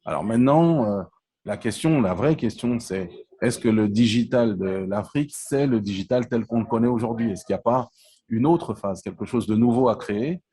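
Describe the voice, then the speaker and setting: A man's voice: mid-range voice, animated, moderate pace, warm tone. A man from Congo in his forties, answering interview questions in a hesitant way, with frequent fillers and restarts. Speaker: interview guest, telecom entrepreneur.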